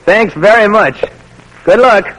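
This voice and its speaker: A young man playing a polite, easygoing army private in an old radio drama, with a folksy manner and husky voice. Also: high-pitched, unhurried, a bit bright, rough recording. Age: young adult.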